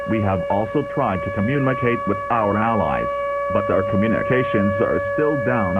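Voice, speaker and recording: A grave male emergency broadcast announcer, played by a man, speaks formally in a low voice. The sound is narrow and tinny, with loud music behind the solemn scripted warning. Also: natural voice.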